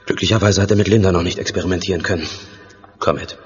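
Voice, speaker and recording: An actor playing a somber agent hero in a horror radio play. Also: male voice, deep voice, steady tone, fast, natural voice. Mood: somber, relieved. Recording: dull, reverberant, music behind.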